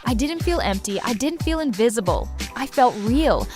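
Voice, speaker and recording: A woman's voice: a little high, very animated, normal speed. A woman playing an emotionally raw woman finding her courage, in simple short sentences for an educational radio drama. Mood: elated. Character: Olivia, emotionally raw young woman finding courage after losing job. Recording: music bed behind the voice.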